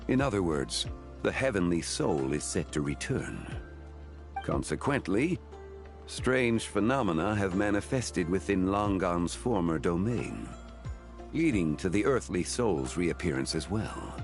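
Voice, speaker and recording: A man playing a grave, composed ancient god in a fantasy game, speaking in a measured, solemn and formal way. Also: low voice, exaggerated voice. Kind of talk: fantasy game cutscene acting. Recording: music behind the voice.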